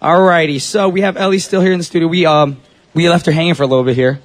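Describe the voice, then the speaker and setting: A man's voice: fairly high pitch, fairly even intonation, quick pace, a crowd in the background. A man hosting a radio show in casual chat, upbeat and bantering. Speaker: radio host. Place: radio broadcast at a university with a live audience.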